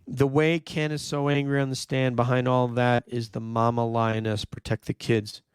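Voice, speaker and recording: A hoarse man, nearly losing his voice, hosting a true crime livestream, talking emphatically and answering viewer chat. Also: mid-range voice, flat delivery, moderate pace, no room sound, studio quality. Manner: emphatic, repeats "no question", thinks aloud with fillers. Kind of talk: live commentary reading viewer chat.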